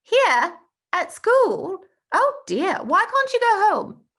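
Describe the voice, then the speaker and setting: High-pitched schoolboy played by a woman, lively, boastful, exclamatory, very animated, storybook reading. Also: slow, exaggerated voice. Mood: astonished, pitying. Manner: exclamatory, excitable.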